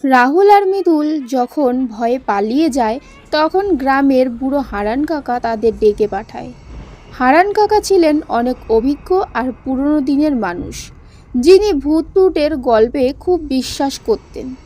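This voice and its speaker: A woman's voice: high-pitched, animated, unhurried, dark tone. A woman narrating a scripted horror fairy tale as a storyteller.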